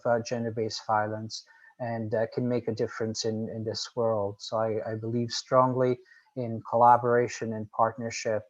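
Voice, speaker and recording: Man, native Portuguese speaker, flat even delivery, earnest and formal, dull video call audio. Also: mid-range voice. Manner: earnest, measured, formal with frequent "um". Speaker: executive director of an organization. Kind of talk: panel discussion by video call.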